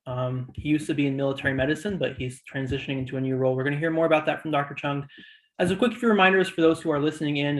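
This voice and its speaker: Fast-talking man with a higher-pitched voice. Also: fairly bright tone, poor audio. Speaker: host of a virtual student shadowing session. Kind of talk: informal introduction and announcements.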